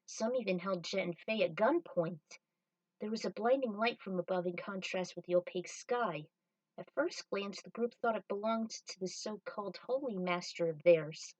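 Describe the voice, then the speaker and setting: A woman's voice: medium pitch, no room sound. Woman narrating a story, slightly distant. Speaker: narrator and reader of a fan fiction story.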